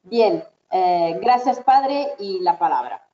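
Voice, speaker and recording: Mellow-voiced woman hosting a webinar. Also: mid-range voice, expressive, slow, highs cut off. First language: not Spanish. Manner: hesitant, repetitive, many fillers. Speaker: webinar host, moderator. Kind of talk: online webinar moderation.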